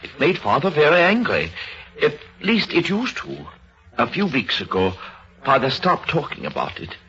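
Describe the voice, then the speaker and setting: A man playing a shaken, hesitant young bereaved son in an old-time radio drama, speaking haltingly. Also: on the high side, wildly swooping pitch, bright, forceful tone, heavily acted. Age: young adult. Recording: dull old radio recording.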